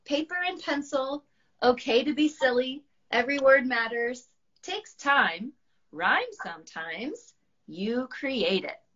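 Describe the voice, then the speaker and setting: A woman's voice: sits high, animated, slow, fairly bright tone, pitched above the performer's own voice, heavily acted. A woman voicing a modest, hopeful monster poet in a children's storybook, hesitant and earnest, in a slightly dull recording.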